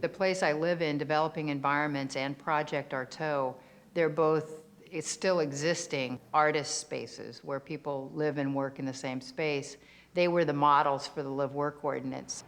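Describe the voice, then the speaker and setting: A woman artist speaking thoughtfully, and a little haltingly, in a documentary interview. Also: low-pitched, steady tone. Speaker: artist and resident.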